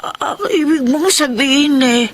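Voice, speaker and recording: A woman's voice: high voice, measured pace, slight background noise. An actress with a Filipino accent playing a worried, astonished middle-aged mother in a radio drama, with fretful exclamations. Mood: shocked, worried. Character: worried, astonished mother talking to her grown son. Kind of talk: Philippine radio drama acting.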